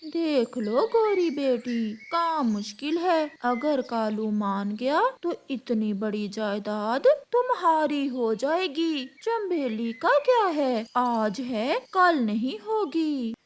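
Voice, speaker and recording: A woman's voice: high-pitched, expressive, slow, hardly any pauses. A woman voicing a nagging, gossipy older mother crow in a cartoon story, in a scolding, weary manner. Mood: resigned. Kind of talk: Hindi cartoon story dialogue.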